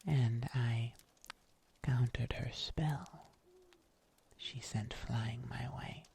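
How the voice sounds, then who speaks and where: An ASMR performer playing a kindly huntsman healer in a whispered fantasy roleplay, soothing and breathy, with old-fashioned phrasing. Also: medium pitch, fairly even intonation, very slow, a little mellow, a little hiss or hum. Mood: wry storytelling. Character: kindly fairy-tale huntsman healer, caring and gently wry.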